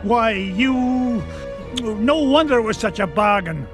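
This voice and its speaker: Feisty old scottish duck uncle, high voice, cartoon game, music under it. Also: male voice, animated, takes it slowly. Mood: indignant. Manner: crotchety, indignant, spirited. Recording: music behind the voice.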